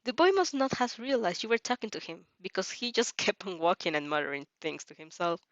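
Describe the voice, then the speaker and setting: A woman's voice: medium pitch, very animated, a little fast, dry recording, muffled recording. Woman reading a story aloud, dry close sound. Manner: giggly asides, stumbling over words while reading. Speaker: reader reacting to fan fiction.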